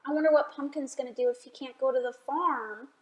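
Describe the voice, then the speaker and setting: A woman reading a picture book aloud for a children's storytime, expressive and often asking the listeners questions. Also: high voice, brisk, echoey room. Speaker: library storytime reader.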